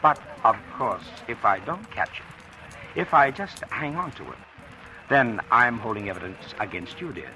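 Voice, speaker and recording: A man's voice: mid-range voice, expressive, pushed and bright, slight background noise, low-quality recording. Suave, swooping male blackmailer. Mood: threatening, silky. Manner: suave, taunting, deliberate drawn-out politeness. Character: smooth, menacing male blackmailer and gambler, mockingly polite. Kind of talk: 1940s radio acting. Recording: old radio drama recording, music underneath.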